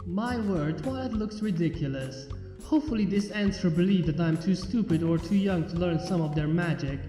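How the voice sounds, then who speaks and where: Brief: first-person story reader, steady and even, mellow warm tone, faint music behind. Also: medium pitch, normal speed. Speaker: fanfiction reader. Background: faint background music.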